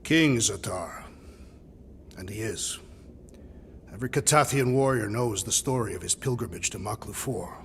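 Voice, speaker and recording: A man playing a solemn, honour-bound alien warrior in a video game cutscene, speaking gravely and formally. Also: lowish voice, normal speed, pauses often, fairly bright tone, big-room sound, a little hiss or hum, lightly acted. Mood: earnest, dignified. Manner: grave, formal, storytelling delivery without contractions.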